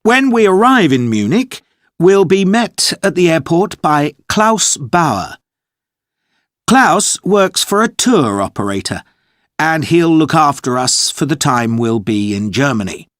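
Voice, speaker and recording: A man playing an organised tour organiser briefing his group in an acted monologue, methodical and clearly enunciated. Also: on the high side, very animated, takes it slowly, a bit bright, dry recording, clean studio sound, heavily acted. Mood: businesslike.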